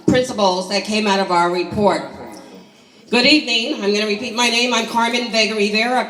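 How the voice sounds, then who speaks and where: A woman in her sixties gives a prepared statement in a hoarse voice. She is a community coalition leader and reads it earnestly to the people at a town hall. The sound is roomy and comes from well back from the mic. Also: a little high, fairly bright tone. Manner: earnest, reading a personal statement. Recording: echoey hall from far off.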